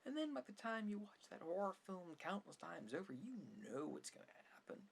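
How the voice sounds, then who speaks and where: A soft-spoken ASMR creator giving rambling, opinionated, mildly sarcastic film commentary, at times dropping to a whisper. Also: medium pitch, big pitch swings, fairly quick, gravelly, slight background noise.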